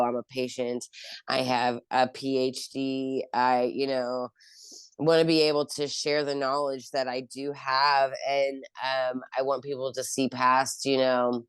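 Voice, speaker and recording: A woman's voice: a bass voice, smooth, no room sound. A woman as an interview guest, chatting casually about her work, rambling with lots of fillers and restarts. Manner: rambling, heavy with "um" and "you know" fillers and restarts. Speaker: interview guest, cannabis company founder.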